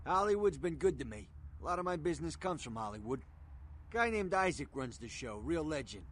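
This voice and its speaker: An actor playing a streetwise underworld gun dealer in a video game, talking in a clipped, cocky, matter-of-fact way. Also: male voice, a little high, very animated, a little fast, fairly bright tone, a little hiss or hum. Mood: businesslike, cocky.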